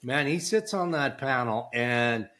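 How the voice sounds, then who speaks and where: A man's voice: mid-range voice, expressive, unhurried, a bit bright, slight background noise. A middle-aged man co-hosting a podcast on a video call, chatty and laughing along. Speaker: co-host. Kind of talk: casual interview chat.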